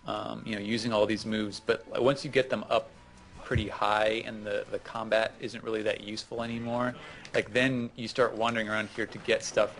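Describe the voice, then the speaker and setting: Low, gravelly man in casual gaming chat. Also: fairly bright tone. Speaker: gaming co-host giving tips.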